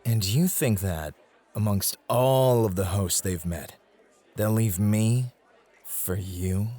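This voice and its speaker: A man playing a possessive, devoted club host in an ASMR roleplay, smooth-talking and condescending toward his rival. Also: fairly low pitch, slow, warm tone. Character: possessive, devoted male club host named Roy, courting a guest.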